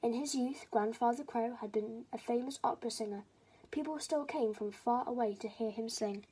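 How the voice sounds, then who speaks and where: A woman's voice: a little high, moderate pace, warm tone, breathy edge, poor audio. A woman reading a children's story aloud as scripted storybook narration.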